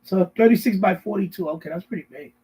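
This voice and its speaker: A middle-aged man with a husky voice chats casually on a live stream, rambling and repeating himself as he answers viewers. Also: high-pitched, fast talker, dark tone, low-quality recording. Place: basement at home.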